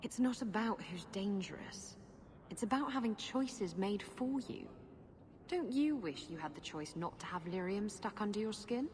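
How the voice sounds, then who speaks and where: A woman's voice: medium pitch, expressive, moderate pace, a little mellow, slight background noise, natural voice. A woman voicing a blunt, flirtatious, playful female rogue in a video game. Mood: earnest then teasing. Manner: sassy, blunt. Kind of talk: video game dialogue acting.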